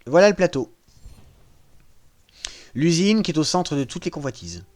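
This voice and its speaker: A man casually walking viewers through a strategy video game, joking at his own expense and pausing often with fillers. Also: a little high, expressive, a little mellow. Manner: self-mocking, hesitant with frequent fillers. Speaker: gaming channel host. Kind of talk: casual gameplay walkthrough.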